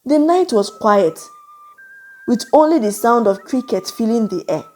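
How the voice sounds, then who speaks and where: A woman narrating a scripted folktale in a measured, suspenseful, dramatic way. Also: a little high, lively intonation, warm tone, faint music behind. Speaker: storyteller, narrator.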